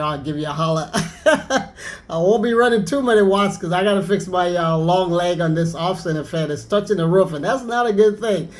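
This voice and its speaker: A man with a notably high-pitched voice talks casually over amateur radio. His manner is friendly and informal, like someone rag-chewing with a fellow operator and handing over at the end of each turn. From Anguilla, Caribbean.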